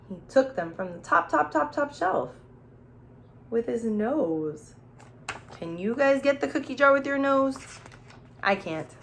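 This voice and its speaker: A woman teacher reading a picture book aloud to young children, playful and expressive, pausing to ask simple questions and explain. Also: fairly high pitch, a little hiss or hum. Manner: expressive, playful, with gasps and exclamations. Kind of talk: children's storybook read-aloud with questions and asides.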